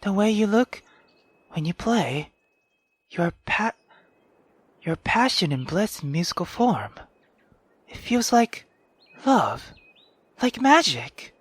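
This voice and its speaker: A woman voicing an earnest, thoughtful young girl with a gentle, measured manner in a visual novel read-aloud. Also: medium pitch, sing-song, husky, dry recording, heavily acted.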